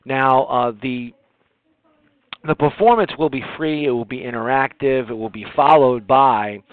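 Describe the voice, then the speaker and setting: An American man hosting a radio interview, speaking conversationally with halting, hesitant phrasing. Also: medium pitch, smooth, dry recording. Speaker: radio show host, interviewer. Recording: dry, narrow phone-like internet radio sound.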